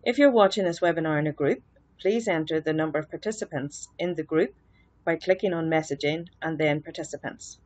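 A woman's voice: deep voice, fairly quick, a little mellow, no echo. A middle-aged woman with an Irish accent presenting a webinar over a laptop webcam in a small office, explaining earnestly with fillers and restarts. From Ireland. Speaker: webinar presenter, social worker. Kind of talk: webinar lecture. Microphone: laptop webcam, video call.

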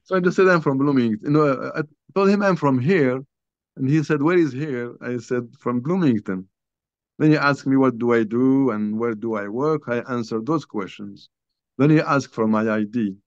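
An older man from Algeria, a guest author, telling a personal story in a halting, conversational way with many restarts, recorded over a video call from a small room at home. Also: medium pitch, dry recording. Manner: halting, restarts often, many you-knows. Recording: dull lo-fi video call audio.

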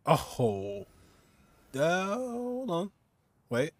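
A man's voice: on the high side, wildly swooping pitch, drawn-out words, dry recording. A man in his twenties giving casual live reaction commentary as he streams a game. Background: game music.

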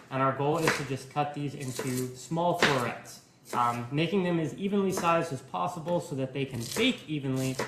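A young man in his twenties giving a relaxed, step-by-step live cooking demonstration in a home kitchen, filmed by a camera at a distance. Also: on the high side, roomy sound, very noisy. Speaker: cooking instructor.

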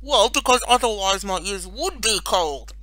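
A woman's voice: mid-range voice, lively intonation, measured pace, fairly bright tone, dry recording, pitched above the performer's own voice. A woman voicing a cheeky, whining little boy in a storybook reading. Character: small cheeky boy, plaintive and quick-witted.

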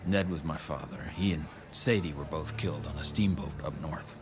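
A man playing a terse, gruff young American gunslinger in a western video game. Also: extremely low pitch, normal speed, over music. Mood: terse, guarded. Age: young adult. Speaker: game protagonist.

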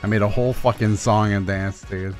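A man's voice: lowish voice, fairly even intonation, a little fast, over music. A young man streaming games and joking around with friends, laughing often. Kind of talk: casual gaming stream banter.

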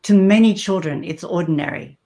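A middle-aged woman hosting a video call interview, asking slow, thoughtful questions. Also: on the low side, dark tone. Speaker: host. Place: small room indoors. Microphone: webcam on video call.

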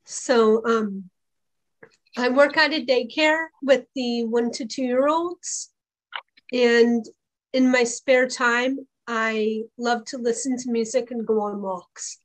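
Twenty-ish girl, slowish, a bit high, simple intro. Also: steady tone, low-quality recording. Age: 20s. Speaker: panelist. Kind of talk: introducing herself on a video call.

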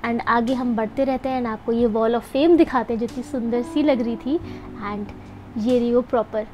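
A woman's voice: on the high side, steady tone, moderate pace, mellow, slight background noise. A young woman narrating her vlog as a voice-over, chatty and upbeat, talking straight to her viewers. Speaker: food and event vlogger. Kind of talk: voice-over narration mixing Hindi and English.

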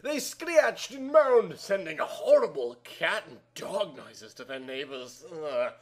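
A man's voice: on the high side, very animated, slow. A man reading a story aloud in a theatrical, exaggerated way. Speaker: narrator reading amateur fan fiction aloud.

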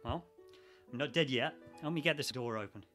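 A man's voice: mid-range voice, fairly quick, sharp tone, faint music behind, close to an everyday voice. A man in a radio play acting a sardonic, sweary, exasperated geology researcher who is trapped and argumentative. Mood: sarcastic, fed up.

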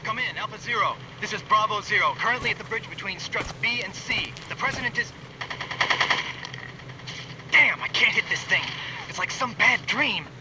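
A frantic soldier from a video game, his voice extremely high with panic. He calls desperately over a distant radio transmission buried in loud noise. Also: moderate pace, pauses often. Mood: panicked, desperate. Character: panicked male soldier calling for help by radio. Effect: radio filter. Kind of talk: video game cutscene acting.

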